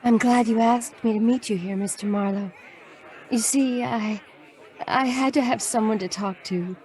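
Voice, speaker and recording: Troubled noir lady , played by a woman: fairly high voice, hesitant and confiding, faint chatter behind, audio drama. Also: moderate pace, a little mellow, close to an everyday voice.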